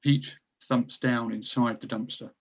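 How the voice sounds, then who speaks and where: A man's voice: mid-range voice, steady tone, unhurried, vocal fry, slightly rough. A slightly muffled, lo-fi recording of a man narrating. He reads screenplay action lines in a mid-range voice, as scripted stage directions.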